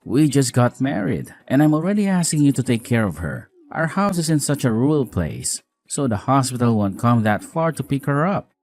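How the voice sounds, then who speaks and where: A man playing a weary, apologetic newlywed husband in a scripted audio drama, earnest, expressive, caring and frank. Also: medium pitch, normal speed, hardly any pauses, faint music behind.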